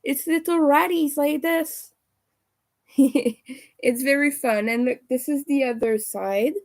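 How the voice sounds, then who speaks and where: A young woman with a raspy, hoarse voice, chatting casually with viewers as she crochets on a livestream. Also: sits high, slow pace. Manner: chatty, talks to viewers while working. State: hoarse from streaming a lot. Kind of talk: casual chat while crocheting live.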